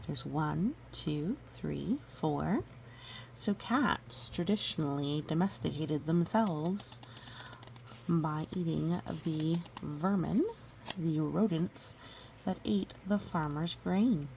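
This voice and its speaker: A woman reading a storybook aloud gently and adding educational asides. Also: deep voice, slow pace, gravelly, low-quality recording. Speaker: storybook reader. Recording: faint background noise.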